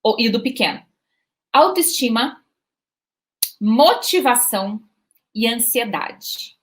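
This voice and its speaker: A woman's voice: a little high, slow pace. A Brazilian woman talking casually to parents about children learning English, checking in often with short questions. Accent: Brazilian Portuguese. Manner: lively, repetitive, asks "tá?" and "né?" to check in. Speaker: bilingual parenting educator. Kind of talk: informal video talk to parents.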